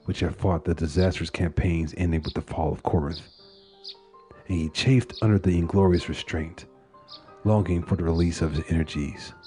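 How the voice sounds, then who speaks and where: A man giving a measured, solemn narration of a literary dark tale. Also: low-pitched. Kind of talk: dramatic horror-tale narration of a literary short story. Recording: music under the voice. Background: music.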